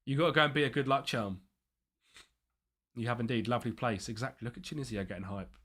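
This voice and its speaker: A young man with a bright, forceful voice, talking live over a game stream. He reads out chat messages and muses about tactics in a chatty, easygoing way. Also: medium pitch, fast. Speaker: streamer playing a football management game.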